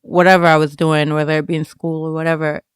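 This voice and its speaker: A woman's voice: deep voice, flat delivery, fast, clear, resonant tone, no echo, clean studio sound. A woman from Jamaica who came to America as a child, answering interview questions reflectively, feeling her way through personal thoughts. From Jamaica, moved to America as a child. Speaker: student writer, interview guest.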